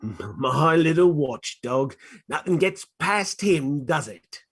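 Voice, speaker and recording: A man playing a rough, murderous street criminal in a stage play reading, husky, speaking clipped working-class slang. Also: on the high side, expressive, slow, full character voice. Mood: sardonic.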